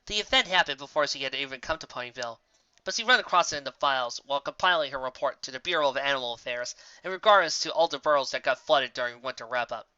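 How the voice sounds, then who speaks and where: Woman, scripted story narration, lowish animated voice, forceful tone, dry lo-fi sound. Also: fast, no room sound. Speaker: audiobook reader of fan fiction.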